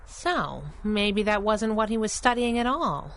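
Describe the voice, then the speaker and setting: Female for plucky superhero sidekick, 1930s radio style. Snappy, teasing, slangy, with swooping, lively delivery. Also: medium pitch, measured pace, a little hiss or hum. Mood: wry, probing.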